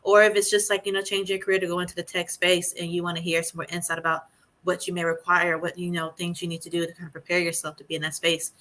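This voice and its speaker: Host: woman, bright and forceful tone, lowish pitch, chatty, hesitant, filler-heavy. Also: level tone, fast, poor audio. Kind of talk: casual interview hosting.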